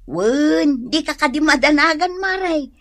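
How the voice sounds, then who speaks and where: A chatty, agreeable neighbor in a comedic radio drama. Her voice is high and close-miked, gossipy and full of exclamations, with an Ilocano Filipino accent. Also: lively intonation, unhurried, fairly bright tone, dry recording, full character voice.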